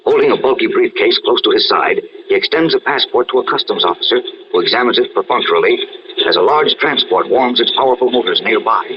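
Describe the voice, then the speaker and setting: A man's voice: fairly low pitch, animated, fast, noisy background. An American man narrating dramatically as an announcer in 1940s radio style. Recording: muffled vintage radio recording.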